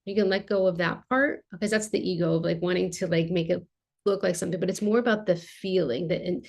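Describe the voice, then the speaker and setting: A woman's voice: on the low side, fast talker, gravelly. A woman in her thirties, an art therapist, explaining her work in a casual video-call interview with lots of fillers. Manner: animated, explains with hand gestures, many fillers. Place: home art studio with shelves. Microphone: video call webcam.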